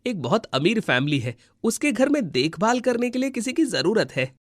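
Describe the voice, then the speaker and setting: Man playing a helpful brother, fairly high voice. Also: very animated, moderate pace, no echo, clean studio sound. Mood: sympathetic. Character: helpful, sympathetic brother offering work.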